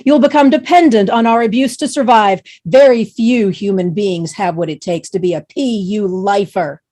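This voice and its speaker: A woman's voice: mid-range voice, moderate pace, dark tone, close to an everyday voice. Brutal foul-mouthed drill-instructor woman, acted out. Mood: menacing. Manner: menacing drill-sergeant bluntness. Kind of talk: screenplay table read.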